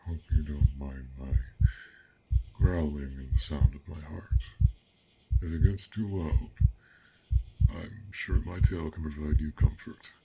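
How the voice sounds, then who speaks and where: A man voicing a gentle, bashful dragon in an intimate fantasy audio roleplay, speaking haltingly in formal, old-fashioned words. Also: very deep voice, steady tone, heavy background noise, heavily acted. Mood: gentle, inviting. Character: fearsome but gentle, bashful, curious male dragon. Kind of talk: roleplay audio acting, intimate one-sided dialogue.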